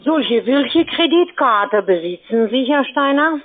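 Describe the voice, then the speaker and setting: High-pitched saleswoman on a tinny phone line. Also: lively intonation, slow, a little hiss or hum. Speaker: cold-calling telephone sales agent.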